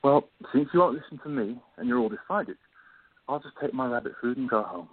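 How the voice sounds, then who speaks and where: Radio play role: man as young, sarcastic best friend, husky, lively swings in delivery, dry phone-like recording. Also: mid-range voice, brisk, no echo. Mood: exasperated. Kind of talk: amateur radio play acting. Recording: muffled, thin phone-line audio.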